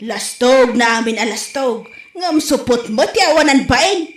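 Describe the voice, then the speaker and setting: A woman acts a bold, sharp-tongued Ilocano scold with a very high voice. She chides in comedy-drama style, in an echoey space. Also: expressive, measured pace, a little hiss or hum. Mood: chiding. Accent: Filipino, Ilocano. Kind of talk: comedy audio drama acting.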